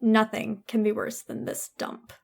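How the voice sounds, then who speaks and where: Casting: adult woman for bitter teenage girl in radio drama; high voice, petulant, dramatic. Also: flat delivery, a little slow, gravelly. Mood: sullen resignation. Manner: sulky, accusing, dramatic. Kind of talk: old-time radio melodrama acting.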